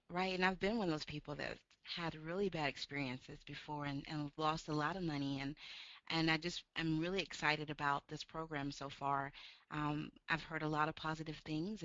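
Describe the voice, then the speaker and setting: An earnest woman with a low voice who phones in to a live call-in session, her line a little dull. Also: a bit bright, no room sound. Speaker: caller. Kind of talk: casual phone question.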